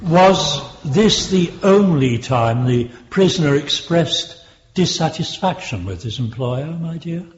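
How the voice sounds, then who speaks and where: A Victorian English barrister in a radio drama. He is condescending and courtly, drawing out his questions with expressive rises and falls. The recording is echoey and slightly muffled. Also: on the high side, slow. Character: smooth, patronising Victorian prosecuting barrister. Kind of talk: British radio drama acting.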